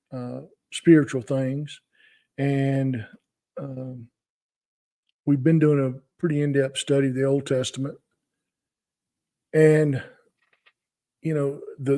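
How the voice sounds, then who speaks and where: A man in his sixties talking off the cuff on a podcast, with a halting, folksy, earnest manner. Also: mid-range voice, warm tone. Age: 60s. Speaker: podcast co-host. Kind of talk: unscripted talk, opinion and testimonial.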